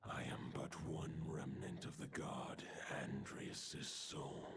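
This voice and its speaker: An actor voicing an ancient, solemn wolf god in a video game, with a gravelly growl and archaic formal speech. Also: male voice, rumbling low, noisy background, full character voice.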